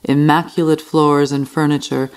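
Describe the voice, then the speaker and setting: An actress playing an obsessively tidy, murderous housekeeper, a genteel lady narrating a Gothic radio play in a theatrical, deliberate way. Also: low voice, flat delivery, darkish tone, clear, resonant tone. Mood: gloating. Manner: theatrical, deliberate, repeating words for emphasis.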